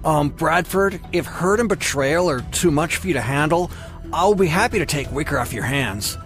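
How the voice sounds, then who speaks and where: Here is a man acting as a young man in a narrated romantic drama. His voice sits high and moves around in a very lively way, with some music underneath. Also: normal speed. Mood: sneering. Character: young men in romantic drama, awkward or sneering. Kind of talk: acted dialogue in a narrated story.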